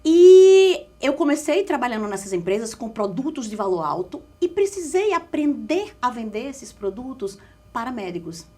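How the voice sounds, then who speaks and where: Lively Pernambuco woman telling her story. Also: a little high, normal speed. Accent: Pernambuco Brazilian Portuguese. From Caruaru, Pernambuco, Brazil. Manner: expressive, emphatic, lively storytelling with repeated phrases. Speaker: guest, communication specialist. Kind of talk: casual interview storytelling.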